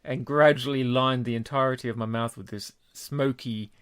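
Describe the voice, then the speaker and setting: A man co-hosting a beer podcast, talking in a relaxed, casual way and cursing freely. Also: mid-range voice, moderate pace, clear, resonant tone, no echo, studio quality. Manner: relaxed, laid-back, swears casually. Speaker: podcast co-host. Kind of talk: casual chat.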